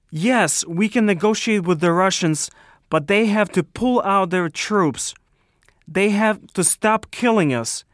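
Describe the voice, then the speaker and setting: A man interprets for an interview guest, giving the answers in a steady, earnest way. His voice is notably high for a man. The recording is dry, with no room sound. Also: dry recording.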